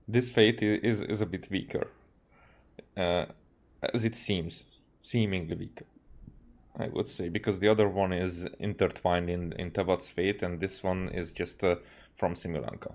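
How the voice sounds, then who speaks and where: A man giving casual video game playthrough commentary, haltingly thinking aloud as he goes. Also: deep voice. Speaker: game streamer. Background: soft game music.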